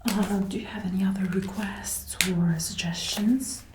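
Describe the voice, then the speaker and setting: A woman doing a gentle, attentive ASMR roleplay as an outfit designer, soft-spoken and often whispering, breathy and close to a binaural mic. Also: mid-range voice, fairly even intonation, measured pace, roomy sound, background noise. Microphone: close binaural microphone, ear to ear.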